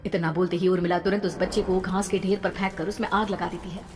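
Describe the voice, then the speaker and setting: Slightly low-pitched woman narrating horror. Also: little pitch movement, fast. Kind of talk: scripted dramatic horror storytelling. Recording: long reverb, background noise.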